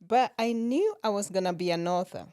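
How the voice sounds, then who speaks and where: A woman's voice: mid-range voice, expressive, brisk, dark tone, smooth, no echo, studio quality. Kenyan-born woman telling stories. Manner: laughs often while telling stories. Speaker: nurse practitioner, guest. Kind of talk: relaxed interview conversation.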